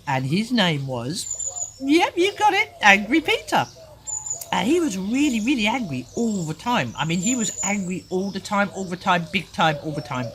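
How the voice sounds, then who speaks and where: Female children's storyteller: very lively intonation, chatty asides, informal bedtime style, noisy ambience. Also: mid-range voice. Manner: animated, chatty asides to the listener. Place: in a tent.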